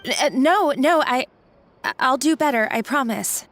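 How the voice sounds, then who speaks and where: An actress voicing a nervous young kitchen worker who stammers and apologizes, in a game cutscene. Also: female voice, sits high, a little slow, music in the background. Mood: cowed. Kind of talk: game voice acting.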